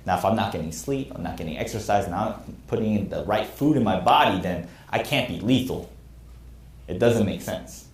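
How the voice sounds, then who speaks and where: A man's voice: lowish voice, animated, fairly quick. A man in his thirties teaching a class as a military instructor, earnest and candid about his own past mistakes. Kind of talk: classroom lecture. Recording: echoey room.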